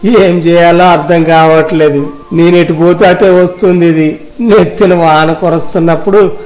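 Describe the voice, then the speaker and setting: A man playing an arrogant rich village husband in a moral story, complaining and exclaiming in drawn-out phrases. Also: a little high, steady tone, a little mellow, natural voice, poor audio. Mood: bewildered complaint. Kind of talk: acted moral-story dialogue.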